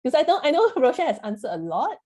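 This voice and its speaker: A woman's voice: high-pitched, big pitch swings, quick pace, a little mellow. A woman hosting a workshop in a casual, chatty way, with informal, wandering phrasing full of "you know" and "like". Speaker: host, moderator.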